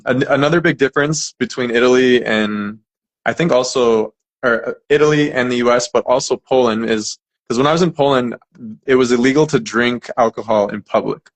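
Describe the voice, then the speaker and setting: A young American man from California, an English teacher chatting casually as an interview guest with lots of fillers. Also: mid-range voice. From California, United States. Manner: relaxed, chatty, many fillers and restarts.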